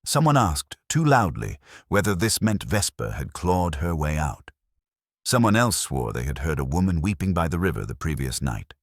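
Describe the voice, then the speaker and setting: Man, very deep voice, lively intonation, measured literary narrator, radio mystery storytelling. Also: husky, no room sound, clean studio sound.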